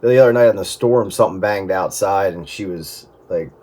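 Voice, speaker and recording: A man's voice: low voice, normal speed, darkish tone. A middle-aged man chatting casually over amateur radio in a rambling way, often restarting his sentences.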